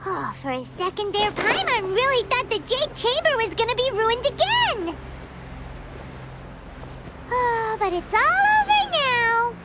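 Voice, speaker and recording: A woman voicing a tiny, excitable childlike fairy girl companion with a squeaky, bubbly delivery in an anime-style video game. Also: unhurried, heavily acted. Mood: relieved, chatty. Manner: bubbly, exclamatory, refers to self by name. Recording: game music behind voice.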